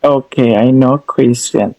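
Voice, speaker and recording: Slow-spoken man from India. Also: medium pitch, fairly even intonation, warm tone, poor audio. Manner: simple phrasing, calls partner brother. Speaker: conversation partner. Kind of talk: casual language-practice chat.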